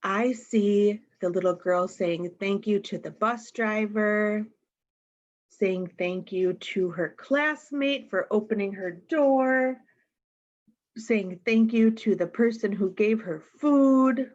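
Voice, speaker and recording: A woman's voice: mid-range voice, slow pace, a little mellow, dull audio. A woman reading a picture book aloud to young children, expressively and addressing them directly with questions. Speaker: storytime reader.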